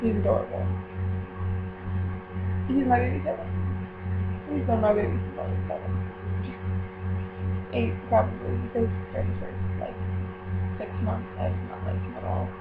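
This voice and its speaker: A woman's voice: fairly high pitch, measured pace, long pauses, loud music behind the voice. A teenage girl chatting casually to her viewers, rambling with many fillers and correcting herself as she goes. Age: teens. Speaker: vlogger running a book club. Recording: tinny lo-fi with steady mains hum.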